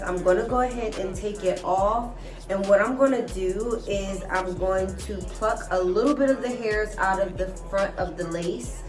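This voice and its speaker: A woman talks her viewers through a wig install in a chatty, friendly-tutorial way, her voice a little stuffy from a cold. She sits back from the mic in a roomy-sounding space. Music plays clearly underneath. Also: medium pitch, distant mic. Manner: chatty, keeps addressing viewers as guys. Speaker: hair vlogger. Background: background music.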